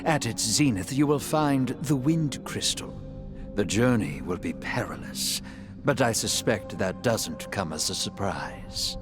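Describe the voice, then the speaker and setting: An actor playing a sly, cryptic dark elf king in a video game, with grandiose, formal phrasing. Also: on the low side, expressive, unhurried. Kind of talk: video game character acting. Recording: music behind the voice.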